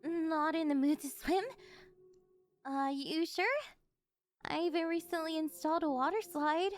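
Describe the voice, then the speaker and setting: A woman's voice: high-pitched, fairly even intonation, unhurried, faint music behind. A woman playing a rich, doting and obsessive older yandere girlfriend in an ASMR roleplay, cooing and over-eager as she talks to the listener. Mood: hopeful, coaxing. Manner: gushing, cooing, over-eager, flustered denials.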